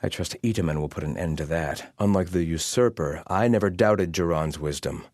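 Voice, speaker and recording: An actor playing a zealous, grumbling soldier loyal to a fallen king, delivering video game lines with pompous devotion and gruff scorn. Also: low-pitched, normal speed, darkish tone, no echo, studio quality. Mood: solemn, scornful. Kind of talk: video game voice lines, battle barks and muttered remarks.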